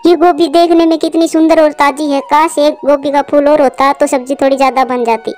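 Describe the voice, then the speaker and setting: A woman's voice: sits high, steady tone, a little fast, a little mellow, soft background music, natural voice. A woman voicing a caring, worried mother bird from a poor family in a cartoon. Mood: tender.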